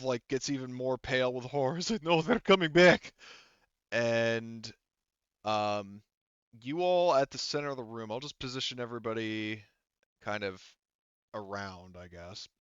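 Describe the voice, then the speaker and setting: Game master, male, clean lowish voice, loose stop-and-start storytelling with casual swearing, slightly muffled close recording. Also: no echo. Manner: informal, halting, profane. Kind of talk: narrating a tabletop roleplaying game.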